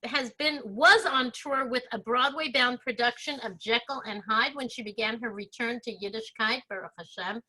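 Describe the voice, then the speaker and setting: A woman's voice: on the high side, pushed and bright, rough recording. A woman from Brooklyn hosting an online show, effusively introducing the performers. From Brooklyn, New York. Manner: effusive and gushing. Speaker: host.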